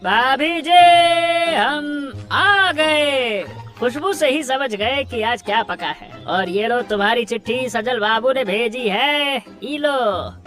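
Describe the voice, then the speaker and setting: A man's voice: extremely high pitch, slow, pushed and bright, soft background music, heavily acted. A man voicing a chatty, teasing village man in a cartoon story. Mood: hearty greeting. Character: teasing village man, a postman's errand companion. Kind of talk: Hindi cartoon story voice acting.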